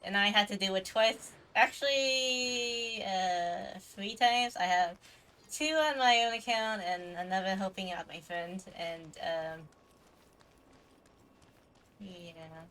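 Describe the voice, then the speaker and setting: A woman's voice: medium pitch, takes it slowly, pushed and bright, slight background noise, poor audio. A woman giving casual, chatty livestream commentary while playing a game, greeting viewers affectionately. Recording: music behind the voice. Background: game music.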